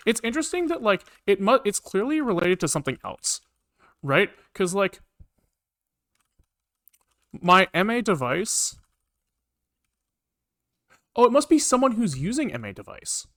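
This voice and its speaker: Slightly high-voiced man thinking aloud while coding. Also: sing-song, unhurried, pauses often, a bit bright, no echo, studio quality. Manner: muttering to himself, repeats phrases, casual swearing. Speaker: programming livestreamer. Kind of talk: casual live coding commentary, thinking aloud. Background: computer keyboard typing and clicking.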